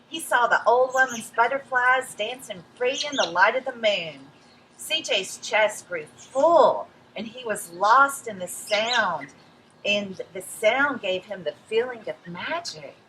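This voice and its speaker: A woman's voice: fairly high pitch, slight background noise, rough recording. A woman hosting a read-aloud, expressively reading a picture book to young listeners. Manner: expressive storytelling, addresses young listeners, pauses for picture asides. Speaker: children's read-aloud host.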